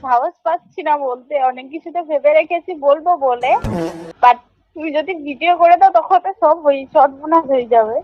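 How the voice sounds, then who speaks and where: Very high-pitched young Indian Bengali woman. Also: fairly quick, soft background music. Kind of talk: intimate casual phone chat. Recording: muffled, faintly noisy phone call.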